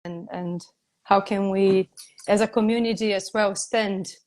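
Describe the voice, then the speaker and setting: A woman from Brazil with a Brazilian accent, hosting a live online conversation in halting, earnest speech, searching for her words. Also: mid-range voice, fairly even intonation, a little mellow. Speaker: host. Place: home in Spain. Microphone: video call.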